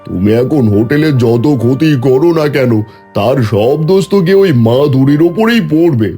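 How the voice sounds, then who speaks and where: Actor as a mellow, scheming village neighbour man. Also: mid-range voice, animated, normal speed, close to an everyday voice. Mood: sly, reassuring. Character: scheming male neighbour, slyly giving crooked advice. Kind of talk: Bengali cartoon dubbing. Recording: music behind the voice.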